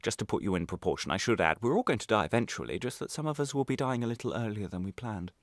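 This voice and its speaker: An actor playing an embittered, vengeful former prisoner in a radio play monologue, deliberate and theatrical in his menace, swinging between hushed and agitated. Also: on the low side, animated, no room sound. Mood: taunting, brooding.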